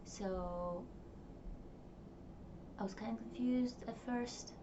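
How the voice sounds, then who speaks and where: A woman's voice: on the high side, slow. A young woman whose first language is Russian, talking in a rambling, reflective way with many fillers, recording a personal diary vlog. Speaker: vlogger. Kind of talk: casual diary vlog to camera. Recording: distant, dull, noisy home recording.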